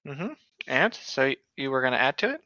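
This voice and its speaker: A man's voice: medium pitch, very animated, fairly quick, sharp tone, no echo, highs cut off. A man chatting and reading aloud casually with friends, with a teasing, chatty manner. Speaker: podcast co-host reading aloud. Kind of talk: casual group fan fiction read-aloud with banter.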